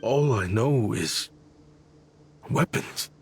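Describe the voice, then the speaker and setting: An actor playing an exiled war researcher in a video game, theatrical and earnest, a scholar obsessed with weapons. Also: male voice, lowish voice, animated, extremely slow, full character voice. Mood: eager, earnest. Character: exiled war researcher, eager weapons fanatic, confessing his crimes. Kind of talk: dramatic story narration and dialogue. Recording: music under the voice.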